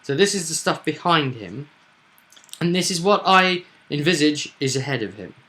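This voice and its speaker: A man's voice: on the high side, lively intonation, moderate pace, pushed and bright. A man running a tabletop roleplaying game, explaining things in a reflective, conversational way. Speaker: game master.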